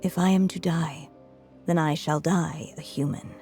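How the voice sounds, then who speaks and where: A woman playing a frail but resolute elderly writer in a video game, measured and reflective, with a breathy voice that weakens into whispers. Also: low-pitched, fairly even intonation, dark tone. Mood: solemn resolve. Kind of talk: dramatic video game voice acting. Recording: music under the voice.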